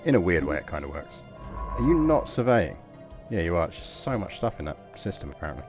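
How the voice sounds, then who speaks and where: A deep-voiced English man talks his viewers through a strategy game in a loose, wandering way, poking fun at himself. Faint music plays underneath. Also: big pitch swings, quick pace. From England. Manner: rambling, chatty, self-deprecating. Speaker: game streamer. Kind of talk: casual live gameplay commentary.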